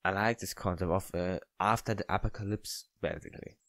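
A man with a non-native English accent reading game text aloud haltingly, with casual asides. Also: low voice, steady tone, fairly bright tone, dry recording, studio quality. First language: not English. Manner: halting, stumbles over words. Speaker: let's player reading a visual novel aloud.

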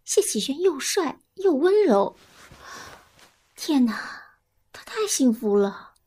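A woman's voice: sits high, big pitch swings, slow, warm tone, heavily acted. An actress in a Mandarin audio drama playing a bubbly, gushing young female talent agent.

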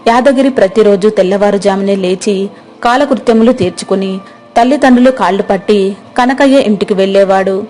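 A woman's voice: medium pitch, a little mellow. A woman storyteller reading a scripted moral story as narration.

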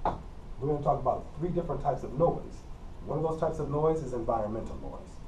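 A mellow-voiced middle-aged man with a Midwestern accent lectures on communication, repeating himself patiently in a plain teacherly way. His voice is caught by a camera mic across an empty classroom, so it sounds distant and roomy. A faint air-conditioner hum sits underneath. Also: mid-range voice, fairly quick. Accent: Midwestern American. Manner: plain, repetitive teacherly explaining with frequent coughs. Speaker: college communication instructor. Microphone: camera mic from across the room.

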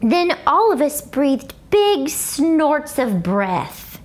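Woman in her 30s reading a kids book, slow and super animated, highish voice. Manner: animated, dramatic. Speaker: storyteller. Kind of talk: expressive children's book read-aloud. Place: furnished living room, indoors. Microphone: lapel mic.

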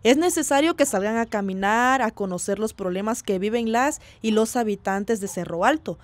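An actress playing an earnest village community activist being interviewed in a radio drama, measured and sincere. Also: female voice, fairly high pitch, no room sound, close to an everyday voice.

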